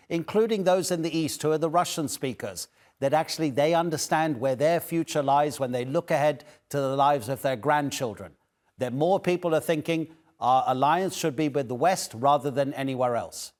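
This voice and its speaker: Measured male news anchor. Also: a little high, darkish tone. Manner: measured, long multi-part questions. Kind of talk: news interview questions.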